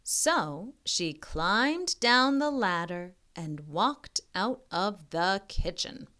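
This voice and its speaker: Woman, children's read-aloud tutor: very unhurried delivery, lively melodic pitch, chatty teacherly asides. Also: clear, resonant tone. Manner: expressive, teacherly, pauses to question listeners. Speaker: reading tutor reading a picture book aloud.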